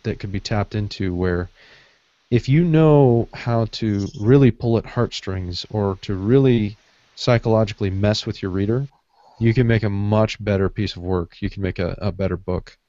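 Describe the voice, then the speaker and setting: A man's voice: fairly low pitch, moderate pace, mellow, dry recording. A man co-hosting a podcast, talking in a casual, conversational way. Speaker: podcast co-host. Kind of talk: casual panel chat. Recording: dull lo-fi online audio.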